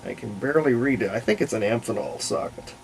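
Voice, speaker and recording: Gravelly man talking through a hands-on repair. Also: mid-range voice, animated, fast talker. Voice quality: gravelly, creaky. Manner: unscripted, thinking aloud while working. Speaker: hobbyist restorer presenting a repair video. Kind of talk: casual hands-on workbench commentary. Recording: clear background noise.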